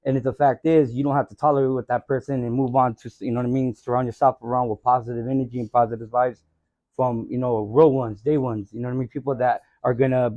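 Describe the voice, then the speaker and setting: Earnest guy on a podcast, mellow voice, dry kinda dull sound. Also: mid-range voice, flat delivery, fast, no room sound. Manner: earnest, repeats "you know what I mean". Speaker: podcast guest. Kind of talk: casual chat.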